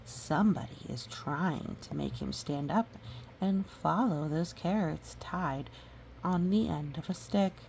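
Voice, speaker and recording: A woman reading a storybook aloud gently and adding educational asides. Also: low voice, slow, creaky. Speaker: storybook reader. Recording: faint background noise.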